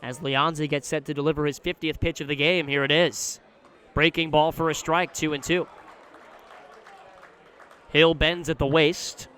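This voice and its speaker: A man's voice: fairly high pitch, smooth. An American man calling baseball play-by-play for radio, describing each moment in detail in a live outdoor broadcast. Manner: measured description, excited on big plays. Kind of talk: live sports commentary. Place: outdoor ballpark broadcast position.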